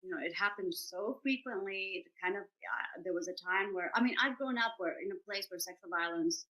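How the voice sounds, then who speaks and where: A woman's voice: mid-range voice, a little fast, pushed and bright, rough recording. A woman in her forties, a playwright talking in an interview, earnest and reflective, often restarting and searching for words. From grew up in Argentina and India. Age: 40s. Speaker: guest, playwright and survivor.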